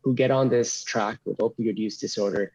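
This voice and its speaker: A man giving explanatory answers as an expert interview guest, in measured, formal wording, over slightly dull audio. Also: lowish voice, steady tone, darkish tone, breathy edge.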